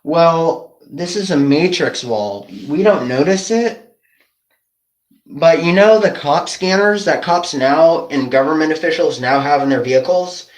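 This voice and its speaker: A man giving a casual, rambling monologue to camera in an earnest, conspiratorial manner, often asking rhetorical questions. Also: a little high. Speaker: online video creator. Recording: roomy, echoing indoor sound.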